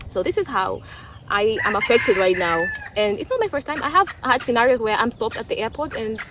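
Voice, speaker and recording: A woman's voice: fairly high pitch, expressive, fairly quick. Tanzanian woman vlogging in english, swahili first language, casual and reflective, some faint rural noise behind her. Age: young adult. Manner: thoughtful, restarts and repeats words. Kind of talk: casual opinion talk.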